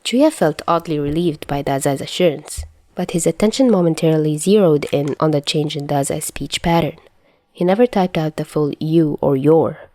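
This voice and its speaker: A woman's voice: low-pitched, normal speed, warm tone, no room sound. A woman narrating written fiction in a scripted audiobook style. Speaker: narrator reading fan fiction.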